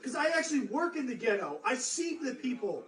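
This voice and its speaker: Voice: high voice, very animated, brisk. A person in a heated argument, speaking in a combative, dismissive way. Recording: video clip with crowd noise.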